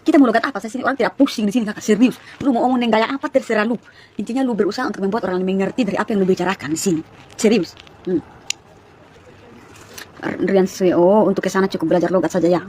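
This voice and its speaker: Woman, about 30, East Nusa Tenggara accent, chatty livestream talk, rapid delivery, middle pitch, faint background noise. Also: steady tone, a little mellow. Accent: East Nusa Tenggara Malay. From East Nusa Tenggara, Indonesia. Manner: chatty, rapid storytelling with many asides to viewers. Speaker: live streamer. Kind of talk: casual live-stream testimony to viewers.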